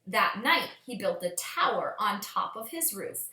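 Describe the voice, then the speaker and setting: A woman reading a children's storybook aloud as a storyteller, with expressive, measured phrasing. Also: medium pitch, a little hiss or hum. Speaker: storyteller, teacher. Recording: echoey room.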